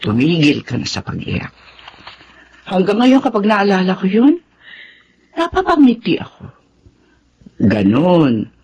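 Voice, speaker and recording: A woman's voice: lowish voice, huge pitch swings, unhurried, long pauses, a little mellow, lo-fi sound, a little hiss or hum, exaggerated voice. A woman with a Filipino accent playing an affectionate, motherly character in a radio drama, speaking expressively. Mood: fond, nostalgic. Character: affectionate, encouraging Filipina mother, often ailing.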